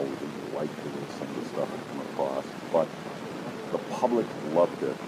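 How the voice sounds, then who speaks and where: A man with a deep voice, the founder of a convention weapons exhibit, telling anecdotes in an interview. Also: heavy background noise. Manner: deep voice, storytelling with acted-out quotes.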